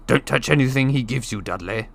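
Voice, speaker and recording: A man voicing a blustering, indignant, frightened middle-aged uncle in a storybook, speaking in a formal, demanding, sharp manner. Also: mid-range voice, fairly quick, vocal fry, pitched above the performer's own voice. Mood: sharp warning.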